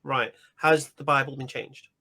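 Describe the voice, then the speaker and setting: A man's voice: medium pitch, fairly even intonation, unhurried, bright, forceful tone. A man debating a caller, pressing him with insistent questions and cutting in on his answers. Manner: insistent, interrupting, cross-examining.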